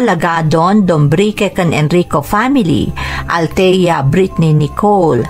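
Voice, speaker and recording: Low-pitched woman hosting. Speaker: radio drama host. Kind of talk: scripted introduction and listener greetings. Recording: background music under the voice.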